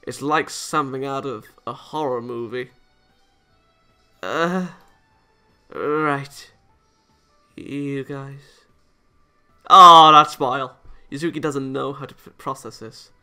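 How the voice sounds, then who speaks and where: A man's voice: medium pitch, fairly even intonation, slow, pauses often, bright, forceful tone, clear, resonant tone, soft background music. A man reading a visual novel aloud as a let's player, stumbling over lines and reacting playfully with casual asides. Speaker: video game let's player.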